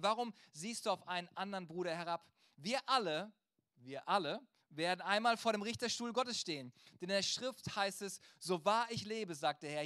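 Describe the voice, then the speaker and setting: Male pastor, high-pitched voice, dry close sound, conversational sermon delivery with rhetorical direct address. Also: bright, forceful tone, dry recording.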